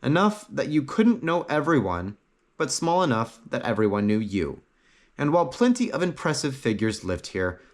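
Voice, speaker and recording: A man narrates a scripted fantasy tale in a middle-pitched voice. His intonation swings widely as he reads dramatically, phrase by phrase. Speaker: story narrator.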